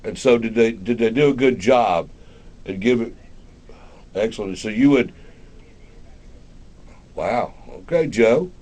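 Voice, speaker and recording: A man hosting a live radio interview in a folksy, halting way, often repeating words. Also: on the low side, darkish tone. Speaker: radio show host.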